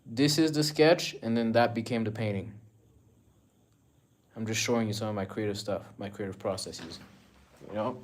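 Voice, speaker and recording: A young man from Rwanda talks loosely into a phone on a home livestream. His voice sits a little low, and he rambles, repeats himself and answers viewers' comments as they come in. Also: normal speed, long pauses. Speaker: artist livestreaming. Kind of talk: casual livestream chat. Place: indoors at home among his paintings. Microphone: phone camera, moving around.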